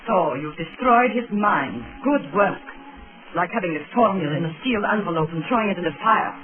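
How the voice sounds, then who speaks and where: An actor playing a scornful, haughty conspirator in a 1930s radio drama, sarcastic and biting. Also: male voice, sits high, lively intonation, fairly quick, over loud music. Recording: tinny, noisy 1930s radio recording.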